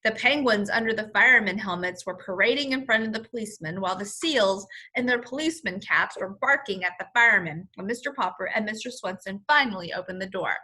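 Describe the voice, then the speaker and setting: A woman reading a children's story aloud as a teacher, in an expressive, explanatory manner. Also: medium pitch, a little fast, hardly any pauses, husky. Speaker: first-grade teacher.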